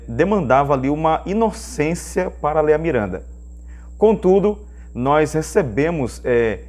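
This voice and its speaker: A man's voice: fairly high pitch, darkish tone. A man with a Brazilian accent hosting an online channel, presenting religious news commentary.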